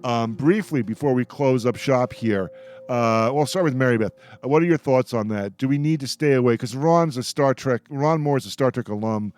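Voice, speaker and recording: A man hosting a casual roundtable radio discussion, speaking in a halting way and repeating words as he goes. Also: medium pitch, a little mellow. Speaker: radio panel host. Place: radio show.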